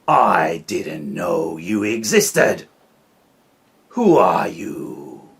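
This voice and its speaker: A man voicing a gruff, loud male bear in a storybook reading, shouting and drawn out. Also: sits low, sing-song, very slow, exaggerated voice. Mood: angry. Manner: shouting, bellowing.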